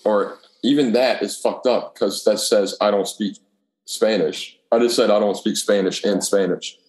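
Male, low register, slangy and sweary storyteller who plays out both sides of conversations, roomy echo. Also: fairly even intonation, normal speed, a little mellow. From Alabama, United States. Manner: profane, slangy, acts out dialogue. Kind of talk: casual chat, telling rambling anecdotes. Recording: echoey room.

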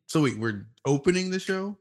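A man hosting a podcast in casual chat, laid-back and quick to laugh, running through the show's plugs. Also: high-pitched, lively intonation. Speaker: podcast host.

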